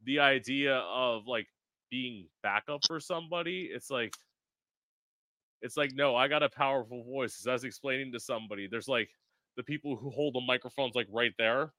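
A man's voice: medium pitch, normal speed, bright, forceful tone, dry recording. A man hosting an interview, talking frankly and brassily and swearing freely in casual chat. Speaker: interview host.

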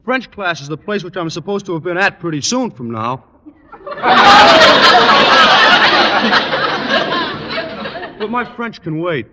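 An actor playing a slow-witted, lanky teenage football player who talks ungrammatically and mangles words, in a 1940s radio sitcom. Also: male voice, high voice, huge pitch swings, a loud crowd, exaggerated voice. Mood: dopey, sheepish. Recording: noisy old radio broadcast.

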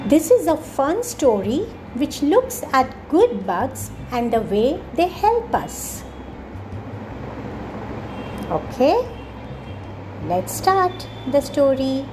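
Slow, high-voiced woman telling stories. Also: noisy background. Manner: expressive, addressing children. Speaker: storyteller, podcast host. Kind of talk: children's storytelling.

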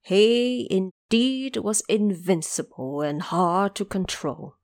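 A woman's voice: medium pitch, lively intonation, a little mellow, no room sound, studio quality. A woman voicing an aggrieved old male dragon king, making a formal, humble plea in an audio drama. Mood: aggrieved pleading. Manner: formal, pleading, slow.

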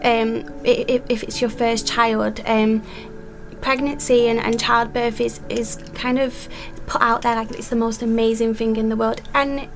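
A woman's voice: fairly high pitch, flat delivery, normal speed, faint music behind. A woman, a midwife guest, speaking earnestly with frequent ums. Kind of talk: interview conversation.

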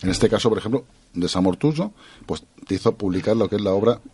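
A man's voice: on the low side, lively intonation, fast talker, mellow, slightly rough, no echo. A man hosting a radio health show, asking questions in long rambling sentences that he keeps restarting. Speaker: radio host. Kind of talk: radio interview.

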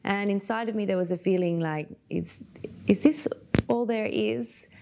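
A woman in her thirties from Australia, a yoga teacher telling her life story as a podcast guest in a thoughtful, unhurried way. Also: mid-range voice, vocal fry, background noise. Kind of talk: reflective storytelling interview.

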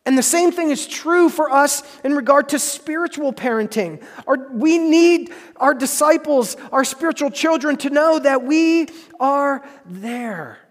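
High-voiced man preaching in a reverberant hall. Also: expressive, unhurried, few pauses. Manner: earnest, emphatic, repeats phrases for stress. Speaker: pastor. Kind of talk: sermon.

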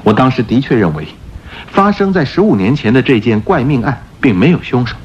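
An actor playing a confident, playful gentleman-thief detective in a radio drama, explaining his deductions theatrically. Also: medium pitch, big pitch swings, brisk, a little hiss or hum, close to an everyday voice. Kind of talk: Mandarin radio drama acting. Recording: dull radio recording with background music.

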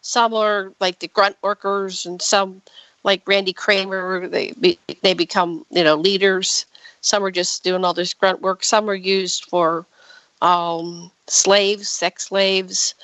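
A woman in her sixties hosting a radio interview, chatty and quick to jump in. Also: mid-range voice, flat delivery, fairly bright tone, no echo, low-quality recording. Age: 60s. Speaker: radio host.

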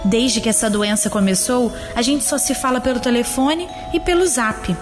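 Woman as high-voiced wistful granddaughter. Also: normal speed, over music, close to an everyday voice. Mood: tender, wistful. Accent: Brazilian. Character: affectionate, wistful, teasing young granddaughter writing to grandpa. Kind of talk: tender scripted monologue in audio drama.

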